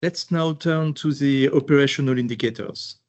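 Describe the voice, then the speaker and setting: A man's voice: medium pitch, moderate pace. A man reading a scripted financial presentation in a formal way, as a chief financial officer.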